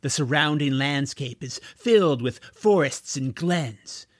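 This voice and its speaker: A man voicing a smooth-talking stable salesman with superficial charm in an audiobook. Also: on the high side, animated, measured pace, no echo, studio quality.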